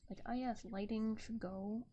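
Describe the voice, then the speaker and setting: A soft-spoken woman hosting a casual ASMR art livestream, rambling gently to viewers into a close binaural microphone. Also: on the high side, fairly even intonation, unhurried, lo-fi sound. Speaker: livestreaming artist and host. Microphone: close binaural ear microphone. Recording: binaural ASMR mic with background noise.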